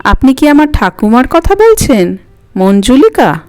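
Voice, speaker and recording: A woman's voice: high voice, big pitch swings, a little mellow. A woman voicing a moody, curt young college girl answering the telephone in an audio story, with music behind her. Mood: impatient, curious. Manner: brisk, curt, youthful.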